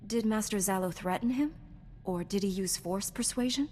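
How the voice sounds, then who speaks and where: A woman's voice: mid-range voice, normal speed, natural voice. An actress playing a loyal, caring young female Jedi knight in a video game, speaking in an earnest, reassuring way. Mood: earnest, inquiring. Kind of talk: scripted video game dialogue. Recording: long reverb, clear background noise.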